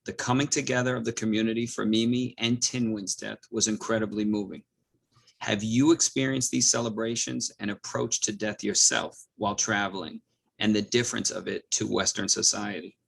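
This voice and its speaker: A man's voice: lowish voice, little pitch movement, normal speed, vocal fry, fairly bright tone, slightly rough. A man moderating a library talk over a video call, reading out audience questions politely. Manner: polite, reads questions aloud.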